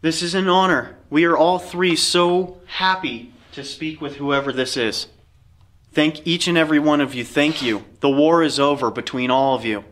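A man's voice: a little high, moderate pace, fairly bright tone. A young man hosting a ghost-hunting vlog inside a cave, talking casually and earnestly with some swearing. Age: young adult. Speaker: paranormal investigator host. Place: inside a dark cave at night.

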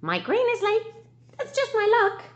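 A woman voicing hungry, impatient farm animals from a children's storybook, loud, whining and demanding. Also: very high voice, wildly swooping pitch, normal speed, muffled recording, pitched above the performer's own voice, exaggerated voice. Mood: grumpy, demanding. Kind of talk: storybook character reading for children.

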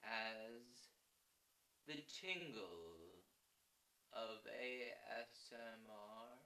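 A man in his twenties playing a grandiose stage orator in a theatrical ASMR role play, declaiming pompously with dramatic pauses. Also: lowish voice, bright, forceful tone, exaggerated voice. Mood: grandiose, solemn. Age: 20s. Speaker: ASMR performer. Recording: echoey small room, distant mic.

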